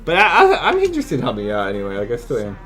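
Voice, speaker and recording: A man's voice: mid-range voice, wildly swooping pitch, rapid-fire, pushed and bright, soft background music. A man giving casual, incredulous live commentary as a video game streamer, exclaiming and swearing freely.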